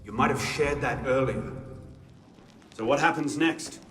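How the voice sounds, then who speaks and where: A man's voice: mid-range voice, unhurried, a bit bright, faint music behind, lightly acted. A man playing an earnest, impatient young assassin bent on revenge in a video game. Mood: indignant. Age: young adult. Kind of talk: dramatic video game dialogue.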